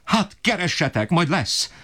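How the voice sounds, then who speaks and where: A man's voice: sits low, pitched above the performer's own voice, natural voice. A man voicing a desperate, enraged poor father who bellows in fury. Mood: furious shouting. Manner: bellowing, furious outbursts.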